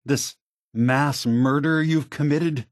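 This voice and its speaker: A man playing a weary, grave synth leader in a video game, speaking deliberately and solemnly with a husky voice. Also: a little high, fairly even intonation, dry recording, clean studio sound. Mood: grieving accusation. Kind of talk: scripted video game voice acting.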